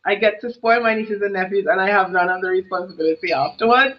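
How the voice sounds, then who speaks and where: A woman's voice: mid-range voice, fairly quick, lo-fi sound. A woman in her thirties from Trinidad with a Trinidadian accent, a business coach chatting casually in an interview and telling stories with frequent laughter over an earphone headset. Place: home office with brick wall. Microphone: earphone headset on a video call.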